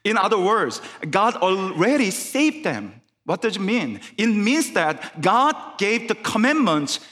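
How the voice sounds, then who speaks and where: Korean-accented male guest preacher, slow and careful, slightly high voice, reverberant sanctuary. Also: sing-song, fairly bright tone. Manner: careful, halting English with restarts. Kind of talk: sermon. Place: church sanctuary.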